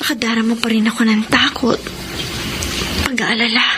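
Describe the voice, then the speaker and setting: A woman's voice: on the high side, level tone, moderate pace, heavy background noise, close to an everyday voice. An actress playing a wounded, hesitant and tender woman in love in a romantic radio drama. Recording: loud music over the dialogue.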